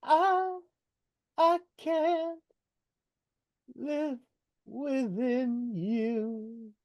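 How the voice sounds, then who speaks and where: A woman singing as the male Goblin King, a proud ruler, slowly and intensely in a live reading over a video call. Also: high voice, highs cut off. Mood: yearning. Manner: slow, intense sung lines. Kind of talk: singing in a live read-through. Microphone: computer mic on a video call.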